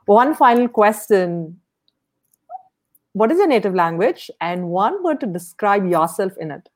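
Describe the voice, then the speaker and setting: A woman's voice: medium pitch, sing-song, measured pace. A woman hosting a podcast, interviewing a guest with upbeat, brief affirmations. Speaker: podcast host.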